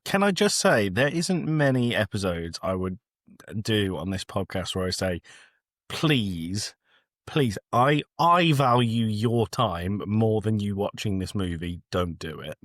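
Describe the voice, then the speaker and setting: Male co-host, animated intonation, teasing and casual, dry close-up recording. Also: lowish voice, unhurried, no room sound. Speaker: podcast co-host. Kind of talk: casual chat.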